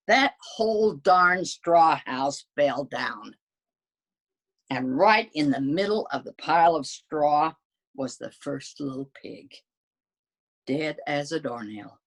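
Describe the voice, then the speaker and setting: Storybook wolf played by a woman: sly, folksy, self-justifying, low and slow-paced. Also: exaggerated voice. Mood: mock-innocent. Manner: conversational, protesting his innocence. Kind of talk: dramatic children's storybook reading.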